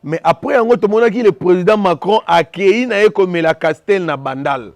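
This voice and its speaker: A man from Congo giving impassioned opinion commentary, talking fast and repeating himself as he switches between French and Lingala. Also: high-pitched, no echo.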